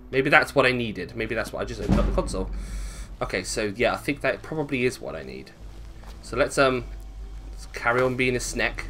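A man's voice: mid-range voice, fairly bright tone. A British man giving casual gameplay commentary, thinking aloud, swearing and questioning himself as he goes. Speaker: gaming video host.

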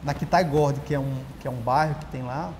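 A Brazilian man in his thirties, a writer and guest, talking in a casual interview with lively storytelling and many restarts, recorded on a lapel mic in a large empty auditorium. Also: mid-range voice, a little fast. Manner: animated storyteller, frequent restarts. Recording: long hall reverb, faint hiss.

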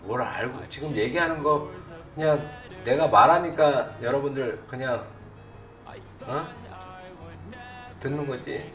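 A middle-aged man giving live stock commentary as a host, blunt and informal, often putting quick questions to his viewers. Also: mid-range voice, fairly bright tone. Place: indoor desk at a computer, livestream setup. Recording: roomy, distant mic, faint music behind.

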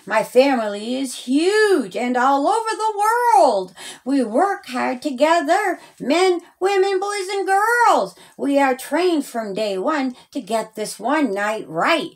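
A kindly Easter Bunny from a children's storybook, acted by a woman. He speaks high and slowly, guiding and explaining in measured rhyme. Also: big pitch swings, heavily acted. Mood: proud, explaining.

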